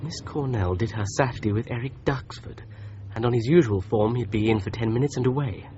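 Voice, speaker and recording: An earnest British amateur sleuth in a 1980s radio play, played by an actor. His medium-pitched voice works things through aloud, on a dullish recording with faint outdoor noise. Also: fairly quick, dark tone, highs cut off, natural voice. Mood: pondering.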